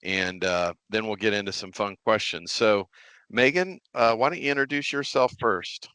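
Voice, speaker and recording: A man's voice: on the low side, normal speed, bright, forceful tone, clean tone, dry recording. A man hosting a podcast in a casual interview style. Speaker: podcast host.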